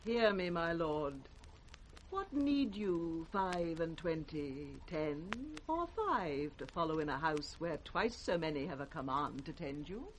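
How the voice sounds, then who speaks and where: Icy princess, calculating and commanding, expressive range, vintage radio drama with faint noise. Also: female voice, deep voice, slow, exaggerated voice, poor audio. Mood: pressing disdain. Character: calculating, icy elder royal daughter, coolly disdainful. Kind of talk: 1960s British radio Shakespeare acting.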